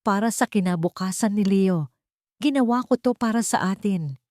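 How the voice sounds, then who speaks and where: A woman's voice: mid-range voice, moderate pace, darkish tone, no room sound, studio quality, pitched above the performer's own voice, lightly acted. A woman voicing an anguished, pleading young wife who has been betrayed, in a dramatic story narration. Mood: anguished, defensive. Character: betrayed, tearful young wife and overseas worker.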